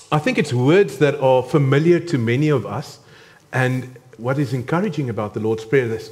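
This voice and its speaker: A man's voice: medium pitch, a little mellow. A man in his thirties with a South African accent, preaching a conversational sermon to a church congregation with frequent ums. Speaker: guest preacher.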